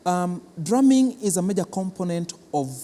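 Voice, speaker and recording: Mellow Kenyan man in a reverberant hall. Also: high voice, expressive, normal speed. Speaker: cultural centre official being interviewed. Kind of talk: explanatory interview answers.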